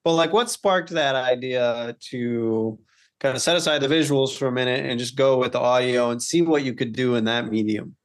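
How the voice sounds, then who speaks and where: A man with a medium-pitched voice hosts a casual interview. He builds his questions slowly out of many small restarts, wordy and a little hesitant. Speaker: podcast co-host interviewing.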